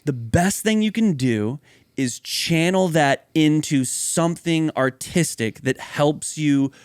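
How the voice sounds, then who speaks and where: A man co-hosting a podcast, chatting casually and excitedly, swearing freely. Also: fairly high pitch, slow, clean tone, no room sound, clean studio sound. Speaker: podcast co-host. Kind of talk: casual chat.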